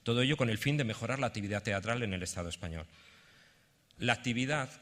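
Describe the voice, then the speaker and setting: A man from giving a halting conference presentation, partly reading it out. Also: fairly low pitch, a bit bright. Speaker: theatre representative presenting a project. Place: indoor conference venue. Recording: reverberant conference hall.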